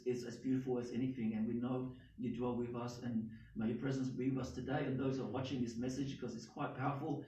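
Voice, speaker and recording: A hoarse Australian man leading a small congregation, preaching and praying in a halting way with many restarts. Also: medium pitch, darkish tone. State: hoarse, losing his voice. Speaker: congregation leader. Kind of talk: sermon and spoken prayer. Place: small indoor synagogue. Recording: echoey room from far off.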